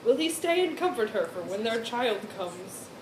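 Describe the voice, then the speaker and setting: A woman's voice: fairly high pitch, big pitch swings, normal speed, a little mellow. A woman chatting casually and wryly as a co-host, chipping in with amused comments. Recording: echoey room with clear background noise.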